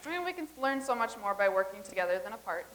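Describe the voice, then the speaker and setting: A woman's voice: medium pitch, big pitch swings, quick pace, a bit bright, slight background noise, low-quality recording. A woman playing a blunt traveler from the future. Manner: matter-of-fact, blunt. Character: matter-of-fact woman from the far future. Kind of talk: staged comedy reading.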